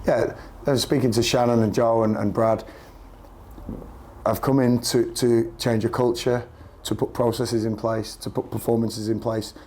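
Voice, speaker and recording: A man, a football manager speaking after a match with a croaky voice, earnest and repeating himself as he searches for words. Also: medium pitch, little pitch movement, unhurried, darkish tone, a little hiss or hum. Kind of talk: post-match press interview.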